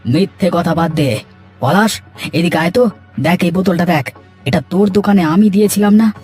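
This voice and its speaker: A man's voice: high-pitched, fairly quick, darkish tone, faint music behind. A man voicing an aggrieved villager in a melodramatic cartoon moral tale, emphatic and lamenting. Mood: indignant.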